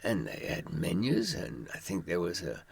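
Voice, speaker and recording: Man, low raspy voice, very dry close sound, wry humour, informal storytelling monologue, asides to listener. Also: wildly swooping pitch, normal speed, no room sound. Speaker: author giving prison survival advice from experience.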